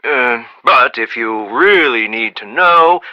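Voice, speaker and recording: An actor playing a proud, eccentric self-made American inventor tycoon in a video game, talking in a folksy, rambling, emphatic way. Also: male voice, medium pitch, lively intonation, slow, dull audio. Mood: folksy, confiding. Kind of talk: animated video game voice acting.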